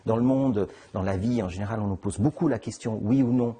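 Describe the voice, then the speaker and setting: A middle-aged man, answering questions in an interview. His voice is husky and fairly low, and he stumbles through many restarts and fillers as he gathers his thoughts. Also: warm tone. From Switzerland. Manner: halting, many restarts and fillers, laughs easily. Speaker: interviewed author. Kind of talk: conversational interview.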